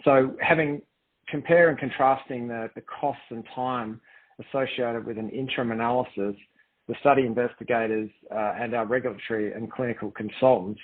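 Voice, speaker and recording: A man's voice: mid-range voice, fairly even intonation, moderate pace. A man speaking as a company executive on a conference call, presenting and answering in careful, formal corporate phrasing. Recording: dull, lo-fi conference call line.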